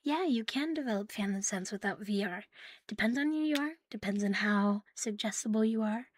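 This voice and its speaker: A woman streaming ASMR in a soft, breathy, often whispered voice, playful and intimate, close to the microphone. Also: medium pitch, animated, normal speed, no echo. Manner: playful, giggly, intimate, lingering on repeated words. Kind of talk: ASMR roleplay with casual rambling to stream viewers.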